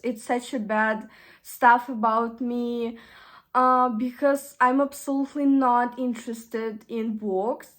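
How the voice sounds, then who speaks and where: A young woman with a Russian accent, chatting casually in a vlog and often pausing to search for English words. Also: a little high. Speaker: vlogger. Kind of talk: casual chat.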